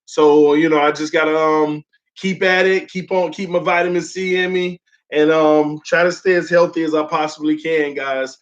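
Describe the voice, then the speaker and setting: Young male stream host, fairly high, flat-voiced, loose and friendly with steady "um" fillers, voice still sore from illness, muffled lo-fi sound. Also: normal speed. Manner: loose and friendly, heavy "um" fillers, calls viewers "man" and "y'all". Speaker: host of a sports fan livestream. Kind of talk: casual livestream chat, reading viewer comments. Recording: dull lo-fi stream audio.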